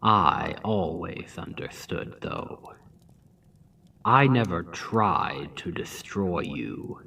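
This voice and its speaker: A man voicing a scheming, seductive demon king spirit in an ASMR roleplay, taunting and mocking in a low, intimate tone that drops into whispers. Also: slightly rough, recorded from a distance, heavily acted. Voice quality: deep, slow, intimate. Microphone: close ASMR mic.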